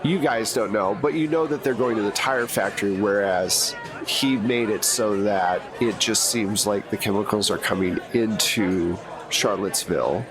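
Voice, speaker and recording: A man narrating as game master in a tabletop role-playing session, explaining scenes in a relaxed, laid-back way. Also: lowish voice, normal speed, few pauses, a crowd in the background.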